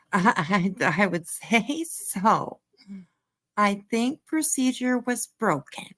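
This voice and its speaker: A woman's voice: mid-range voice, animated, extremely slow, fairly bright tone. A woman hosting a livestream, chatting and commenting casually in a hesitant, rambling way. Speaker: livestream host.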